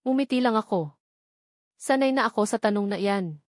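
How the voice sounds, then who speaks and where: A woman with a Filipino accent reading a first-person dramatic love story in an emotive way, with short deliberate phrases. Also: medium pitch, no room sound, studio quality. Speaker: story narrator.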